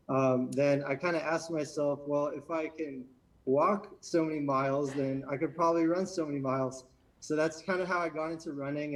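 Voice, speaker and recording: A man's voice: fairly high pitch, far from the mic, rough recording. Easygoing young man, slightly off-mic. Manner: relaxed, easygoing, with fillers. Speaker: graduate finalist. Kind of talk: casual interview answers.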